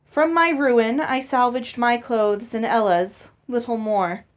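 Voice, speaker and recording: A woman voicing a smooth-talking, placating father in a storybook, full of sweet endearments. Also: on the high side, slow pace. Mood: grave.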